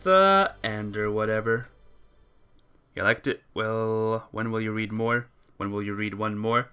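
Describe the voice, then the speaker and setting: A woman's voice: very deep voice, a little slow, fairly bright tone, clean tone. A woman game streamer reading a visual novel aloud and chatting casually with viewers. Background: music.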